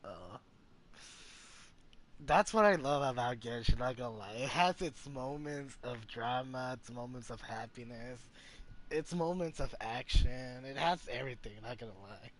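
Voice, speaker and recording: A man giving casual, informal gameplay commentary as a streamer, reacting in a halting way. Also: mid-range voice. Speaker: gaming streamer.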